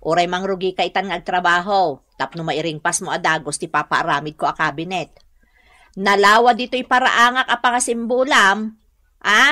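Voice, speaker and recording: A woman's voice: on the low side, fairly even intonation, normal speed. An actress with an Ilocano accent playing a haughty, quarrelsome, well-off woman who scolds and bosses people in a radio drama. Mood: bossy. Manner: domineering, scolding, sharp.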